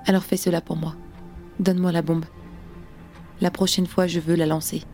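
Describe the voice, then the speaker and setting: A woman's voice: fairly low pitch, level tone, moderate pace, warm tone, close to an everyday voice. A woman playing a grieving, fiercely determined young revolutionary in an audio drama, speaking with insistent intensity. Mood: fierce, pleading. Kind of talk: audio drama acting in French. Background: music.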